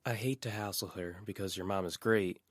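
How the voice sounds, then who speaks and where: A man reading the lines of a guarded, evasive teenage girl in his own low voice, flat and understated. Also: fairly quick, no room sound, clean studio sound. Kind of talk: audiobook character voice.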